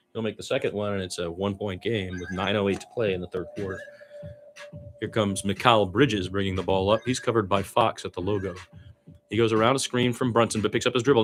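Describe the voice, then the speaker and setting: A man's voice: lowish voice, fairly bright tone. A man doing amateur radio-style basketball play-by-play, conversational, with his own opinions mixed in.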